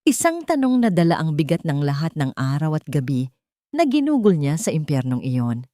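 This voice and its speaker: A woman's voice: low-pitched, sing-song, moderate pace, dark tone, no echo, studio quality. A woman narrating a scripted drama story in an emotive, suspenseful way.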